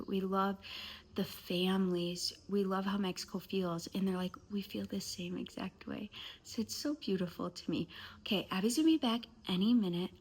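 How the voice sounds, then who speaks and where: A young woman from the United States, a family vlogger, chatting casually to camera and rambling through a story in quick runs with soft, hushed stretches. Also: mid-range voice. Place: home indoors, then an outdoor house build site.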